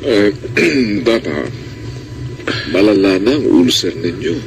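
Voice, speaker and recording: An actor playing a grave, hesitant doctor with a Filipino accent, speaking haltingly in classic radio drama style. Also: male voice, lowish voice, animated, noisy background. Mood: grave, regretful. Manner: halting, solemn. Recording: radio drama with music behind.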